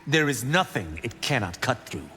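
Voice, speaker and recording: Brash young monk warrior, lively. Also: male voice, mid-range voice, moderate pace, slight background noise. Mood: brash, defiant. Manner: boastful, challenging. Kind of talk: dramatic video game acting. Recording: long hall reverb, music underneath.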